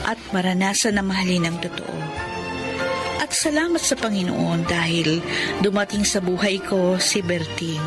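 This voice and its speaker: A woman's voice: mid-range voice, close to an everyday voice. A woman playing a simple, shy but coquettish young woman in love in a Filipino radio drama. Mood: tender, sincere.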